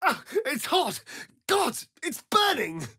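A theatrical, swooping vampire rogue. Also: male voice, very high-pitched, wildly swooping pitch, slow pace, bright, forceful tone, no room sound, clean studio sound, heavily acted. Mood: pained. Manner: theatrical, drawling, arch, with sighs and chuckles. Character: sardonic, vain, theatrical male vampire rogue. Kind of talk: video game character voice lines.

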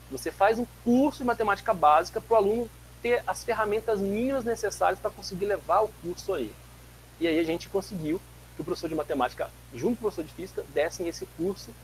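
A man in his forties from Brazil speaks over a webcam in a home office, his voice sitting a little high for a man. He lectures in a lively, story-telling way, stumbling and restarting as he goes. A faint hum runs underneath the call. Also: poor audio. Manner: animated, gestures a lot, tells anecdotes with many restarts. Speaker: guest lecturer, physics teacher. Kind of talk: casual lecture on a video call. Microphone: webcam mic on a video call.

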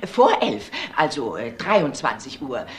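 An actress playing a chatty, self-assured, fussy baroness in a German crime radio drama. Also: female voice, very deep voice, very animated, measured pace, exaggerated voice. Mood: fussy, indignant.

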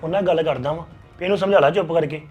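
A man's voice: on the high side, racing, a little mellow, muffled recording, soft background music, lightly acted. An actor playing a protective husband who reassures his crying wife and speaks firmly about the family. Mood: reassuring. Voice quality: reassuring, firm. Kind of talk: family drama acting.

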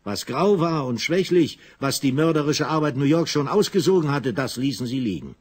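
A man narrating a scripted German radio drama in a measured, literary storytelling manner. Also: mid-range voice, expressive.

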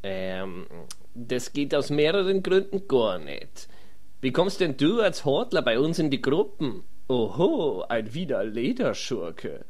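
Casting: grumbling fantasy rogue with Viennese accent, played by a man, colloquial, mid-pitched, animated. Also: slow pace. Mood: resigned, grumbling. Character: streetwise, grumbling Viennese male rogue adventurer. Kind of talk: comedy fantasy audio drama.

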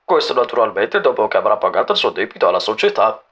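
An actor playing a suave, jovial game show announcer in an old cartoon film dub. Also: male voice, fairly low pitch, sing-song, fast, fairly bright tone. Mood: polished, jovial.

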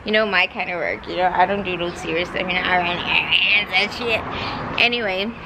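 A woman's voice: lowish voice, fairly quick. A South African woman of 21 chatting casually and playfully to her vlog viewers, with sassy slang, into a camera held at arm's length. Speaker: vlogger. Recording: clear background noise.

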